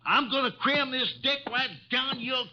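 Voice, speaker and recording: An actor playing a hot-headed card player, blurting and excitable, in old radio drama style. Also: male voice, extremely high pitch, moderate pace, very noisy. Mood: angry, accusing. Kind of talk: 1950s radio drama acting. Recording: muffled old radio recording.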